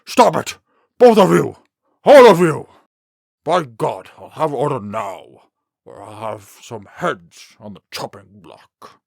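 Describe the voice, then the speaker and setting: A man's voice: a little high, animated, takes it slowly, creaky, dry recording, clean studio sound, exaggerated voice. A man playing a blustering, commanding ruler in a comic dub, pompous and imperious. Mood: outraged. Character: blustering, commanding male ruler keeping order.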